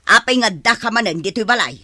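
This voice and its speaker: A woman's voice: medium pitch, expressive, fairly quick, sharp tone, no room sound, studio quality. A woman with an Ilocano accent acting a stubborn older mother with a taste for drink and gambling in a radio drama, grumbling and argumentative. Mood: defensive, grumbling.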